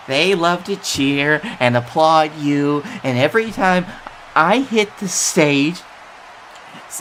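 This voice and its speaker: Nervously upbeat pro wrestler, played by a man, slow emphatic pleading, faint noise behind. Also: high voice, full character voice. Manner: halting, emphatic, swoops from soft to pleading. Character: pleading, nervously upbeat male wrestler coaxing his brother. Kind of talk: wrestling promo acting.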